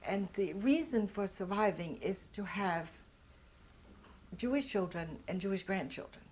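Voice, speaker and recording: A woman's voice: medium pitch, animated, measured pace, a little mellow, slight background noise, poor audio. An older woman whose first language is German, telling her life story in an oral history interview, reflective and earnest as she searches for words.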